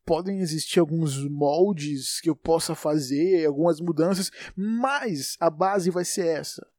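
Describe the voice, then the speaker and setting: A man's voice: high voice, a little mellow, no echo, clean studio sound. Young Bahian man hosting a solo podcast. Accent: Bahian Portuguese. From Salvador, Bahia, Brazil. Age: 20s. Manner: rambling, self-correcting, thinks aloud. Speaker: podcast host. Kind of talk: unedited solo podcast monologue.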